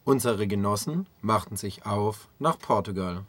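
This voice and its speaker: A man's voice: lowish voice, lively intonation, unhurried, heavy background noise. A man reading scripted narration as the presenter of a radio feature.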